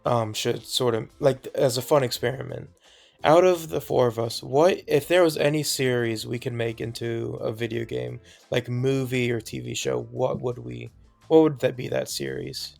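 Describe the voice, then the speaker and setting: A man with a gravelly, mid-pitched voice, chatting on a podcast in a deadpan, sarcastic way. Faint music plays behind him. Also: moderate pace. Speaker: podcast co-host. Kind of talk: casual podcast chat.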